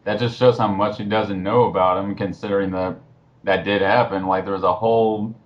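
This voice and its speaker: Muffled lo-fi sound, man, lowish voice, blunt, mocking, casual commentary. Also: fairly even intonation, a little fast.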